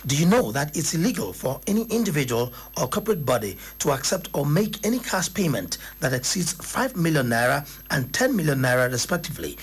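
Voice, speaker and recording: A man's voice: fairly high pitch, breathy edge. A man with a Nigerian accent delivering a scripted public service warning. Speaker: public notice announcer.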